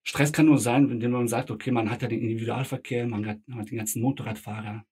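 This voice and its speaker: A man's voice: mid-range voice, fairly even intonation, fast talker, a little mellow, husky. A middle-aged man with German parents from Bosnia, a tram driver, answering interview questions casually with hesitant fillers and short agreements.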